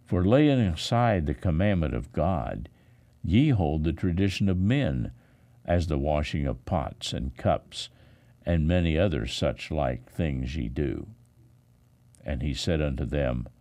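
A man with a deep, mellow voice reads scripture in a solemn pastoral style. He takes his time, setting phrases apart with emphatic pauses, and his intonation rises and falls widely. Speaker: pastor reading scripture aloud.

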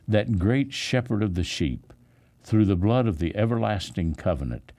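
A man reading the Bible aloud as a pastor, in a deliberate, solemn, phrase-by-phrase delivery. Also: sits low, dark tone, dry recording. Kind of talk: measured Bible reading with brief commentary.

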